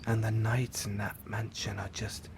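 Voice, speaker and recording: A man playing a lonely, lovesick supervillain in an audio roleplay, theatrical and halting, often dropping into breathy near-whispers. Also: on the low side, little pitch movement, background noise. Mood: lonely, yearning. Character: lovesick, lonely supervillain, suave musical phantom, obsessive and theatrical. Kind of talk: intimate audio roleplay acting.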